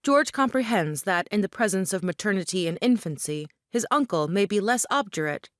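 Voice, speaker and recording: A female narrator reads scripted stage directions for an audio play. Her pitch is middling, and the recording is very dry and close. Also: expressive, brisk, no room sound.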